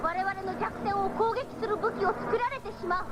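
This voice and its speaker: An actress playing a calm, analytical cyborg strategist in a 1970s radio drama. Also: female voice, extremely high pitch, normal speed, music in the background. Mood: grave, analytical. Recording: very noisy 1970s radio broadcast.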